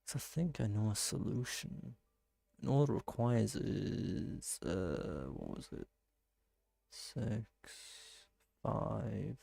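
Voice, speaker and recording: A man with a relaxed, creaky voice talks through a game in casual commentary. He thinks out loud, muttering and exclaiming to himself. The sound is close and dry, with faint music behind him. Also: on the low side, extremely slow, no echo. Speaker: gaming streamer playing and commenting. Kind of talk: casual live gameplay commentary.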